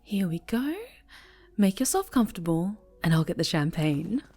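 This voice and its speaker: A woman voicing a possessive, sinister superhero in an ASMR roleplay, soft and often whispered, with a tender, coaxing menace. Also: lowish voice, lively intonation, normal speed, faint music behind. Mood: coaxing, satisfied. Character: possessive, sinister female superhero, a sweetly menacing obsessive captor.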